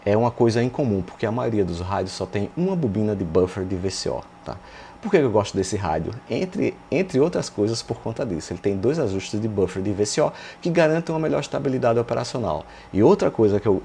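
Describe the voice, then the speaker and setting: Male, Brazilian Portuguese accent, dark relaxed tone, instructor explaining step by step, frequent check-in questions, some background noise. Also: on the low side. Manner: didactic, rhetorical questions and frequent check-in tags. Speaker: radio repair instructor and channel host. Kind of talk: informal technical tutorial, explaining while demonstrating.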